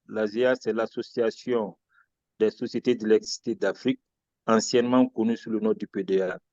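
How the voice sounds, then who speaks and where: A man, who moderates a webinar and introduces the speakers. He delivers the biographies in a formal, measured tone and slips in a few wry asides. His voice comes over a video call, in muted, dry audio that lacks brilliance. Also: medium pitch, darkish tone, no room sound, dull audio. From Guinea.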